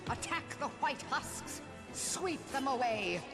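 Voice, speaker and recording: A commanding villainess from a video game, speaking slowly and imperiously. She sounds like a ruler over dark minions, with faint music behind her. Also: sits high, very animated, full character voice. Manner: slow, imperious.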